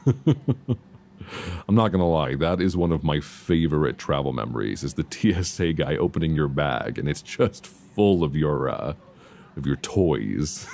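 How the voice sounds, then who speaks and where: A man playing an affectionate, reassuring boyfriend in an intimate, casual ASMR roleplay, speaking softly and soothingly close to a binaural mic. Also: a bass voice, gravelly, heavily acted. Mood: playful, teasing. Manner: soft, intimate, unhurried, soothing. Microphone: close binaural microphone. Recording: faint background noise, binaural.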